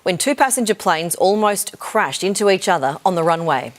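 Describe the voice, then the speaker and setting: A woman's voice: on the low side, animated, normal speed. A woman with an Australian accent presenting scripted television news as an anchor.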